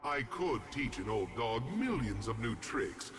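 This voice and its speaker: An actor playing a haughty, ancient star dragon in a video game, speaking with pompous, theatrical, condescending sarcasm. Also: male voice, mid-range voice, very animated, a little slow, music in the background. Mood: mocking. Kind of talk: video game character voice lines.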